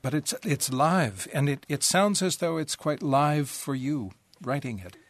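A male interviewer asking thoughtful questions in a reflective, halting way. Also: on the high side, no echo.

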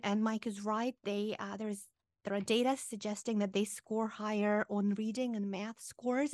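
A woman from Moldova with a Romanian accent, a radio guest and author explaining ideas clearly with frequent fillers. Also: mid-range voice, a little mellow, dry recording, clean studio sound. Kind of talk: radio interview.

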